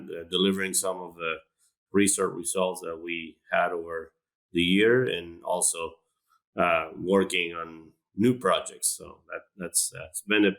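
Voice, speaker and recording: Deep-voiced man from Argentina. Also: fairly bright tone. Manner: hesitant, many fillers and restarts. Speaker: animal science professor, guest. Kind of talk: casual interview talk.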